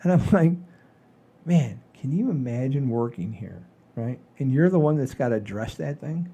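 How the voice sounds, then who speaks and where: Male comedy show host, mature, dark soft-edged voice in a middle register, chatty and meandering. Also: medium pitch, moderate pace, slightly rough. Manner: rambling, animated storyteller with frequent swearing. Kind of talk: casual chat, storytelling.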